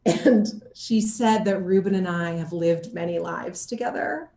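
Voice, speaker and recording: A woman's voice: fairly low pitch, a little slow, warm tone. A woman chatting casually as an interviewed actor, bubbly and giggly, with playful slang, recorded in a room at home. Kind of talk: casual chat in a video interview. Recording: echoey room.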